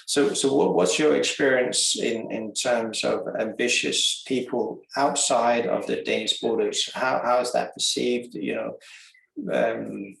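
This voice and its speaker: Male webinar moderator, gravelly voice, far from the mic in a roomy space, halting questions. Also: medium pitch, fairly even intonation, fairly bright tone. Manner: hesitant, apologetic, filler-heavy questions. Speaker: webinar host. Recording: distant echoey room mic with faint noise.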